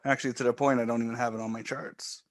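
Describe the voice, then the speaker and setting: Calm, explaining man, dry close sound. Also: mid-range voice, fast talker, no echo. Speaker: trading educator co-hosting a live stream. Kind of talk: live screen-share trading walkthrough.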